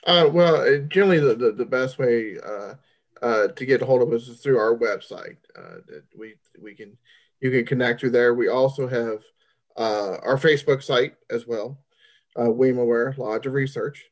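Guy being interviewed, normal mid voice, casual, halting, repeats himself a bit. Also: medium pitch. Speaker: interview guest.

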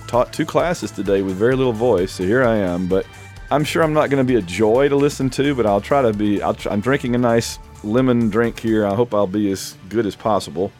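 A man's voice: fairly low pitch, fairly quick, a little mellow, soft background music. A man hosting a sports podcast, his voice scratchy from losing it, rambling casually. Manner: rambling, self-deprecating. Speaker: podcast host.

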